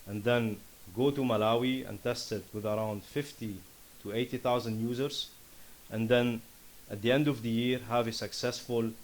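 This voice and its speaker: A man from Syria with a Lebanese accent giving an earnest, impassioned startup pitch and answering questions. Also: a little hiss or hum, low-quality recording. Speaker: startup founder presenting. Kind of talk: conference pitch and Q&A.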